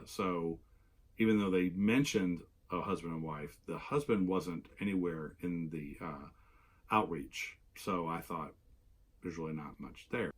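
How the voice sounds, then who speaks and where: A man, a little hoarse after losing his voice, chatting casually in a rambling way with many fillers as the host of his knitting vlog. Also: low voice.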